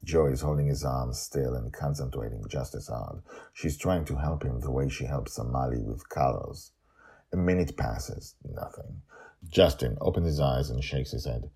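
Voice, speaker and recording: Very deep, gravelly male storyteller. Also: normal speed, warm tone. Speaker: author and narrator of a serial audio story. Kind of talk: storytelling podcast narration.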